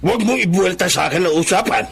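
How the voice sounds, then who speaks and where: A man's voice: high voice, animated, fast talker, gravelly, fairly bright tone, husky, heavily acted. An actor playing a stern father who scolds his grown son, in a radio-style drama. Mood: defensive.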